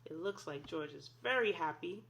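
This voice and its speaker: A woman's voice: on the low side, expressive, normal speed, gravelly, noisy background. A woman reading a children's picture book aloud expressively, in an instructive way, asking young listeners simple questions. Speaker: read-aloud storyteller, teacher.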